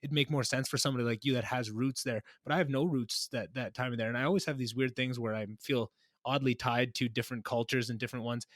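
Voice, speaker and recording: Young male host, quick and chatty, rambling questions, dry close sound. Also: mid-range voice, steady tone, a bit bright, dry recording. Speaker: podcast host. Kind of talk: casual interview conversation.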